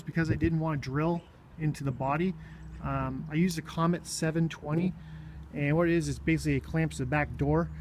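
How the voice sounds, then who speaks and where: A man with a slightly high voice talks through a hands-on walk-around in a casual, unscripted way. He is plainspoken and halting, often pausing mid-phrase. The recording is handheld around a vehicle, with some background noise. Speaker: vlogger showing his own vehicle radio install. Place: in and around his parked vehicle.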